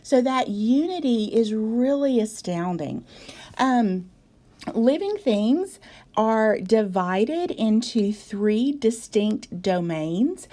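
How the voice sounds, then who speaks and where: A woman from the South, a biology instructor giving a recorded video lecture in a voice hoarse from a cold, measured and explanatory with frequent ums. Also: mid-range voice, dark tone. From American South.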